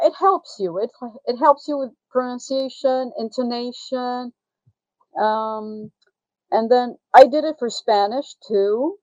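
An older woman from Greece talks through her memories in a halting, reflective way. Her voice is mellow and warm. The audio is dry and a bit muffled, like a lo-fi call. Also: medium pitch, dry recording. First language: Greek. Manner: reflective, halting, laughs while recalling. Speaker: guest. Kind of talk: casual interview.

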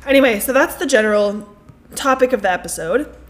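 A woman's voice: a little high, animated, fairly quick. A woman co-hosting a casual podcast, bubbly and teasing, with lots of "like" and "um.". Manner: bubbly, teasing, filler-heavy. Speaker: podcast co-host. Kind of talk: casual chat.

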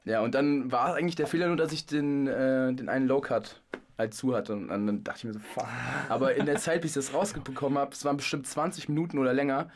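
A man's voice: medium pitch, fast. A young adult man, a podcast co-host and musician, chatting casually with lots of slang and swearing. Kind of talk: casual chat.

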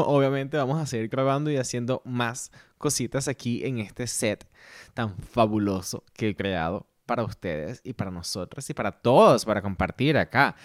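A man's voice: mid-range voice, no room sound, clean studio sound. A man in his thirties from Venezuela with a Venezuelan Spanish accent, hosting a casual solo podcast from a home set in a playful, self-mocking way and laughing often. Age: 30s. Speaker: podcast host.

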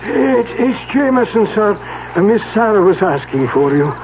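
An actor playing an elderly, deferential family servant with a halting, old-fashioned way of talking in a 1970s radio drama. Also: male voice, high-pitched, big pitch swings. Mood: deferential, hesitant. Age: older. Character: elderly, deferential family servant, wistful about bygone magic days. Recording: tinny, noisy old radio broadcast.

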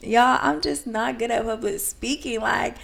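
A woman's voice: on the high side, fairly even intonation, unhurried, vocal fry, fairly bright tone. A young woman co-hosting a podcast in casual chat, rambling and self-conscious with a slight lisp, laughing often. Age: young adult. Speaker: podcast co-host.

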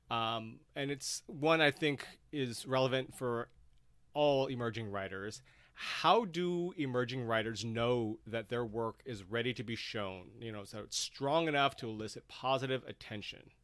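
A man's voice: medium pitch, moderate pace, fairly bright tone, no echo. Man hosting with a close, dry sound. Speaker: host and panel moderator. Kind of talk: live-streamed panel discussion.

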